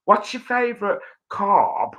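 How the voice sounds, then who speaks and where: A woman's voice: medium pitch, big pitch swings, slow pace. A woman hosting a casual live chat show, with a playful, bantering and teasing manner. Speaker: talk show host.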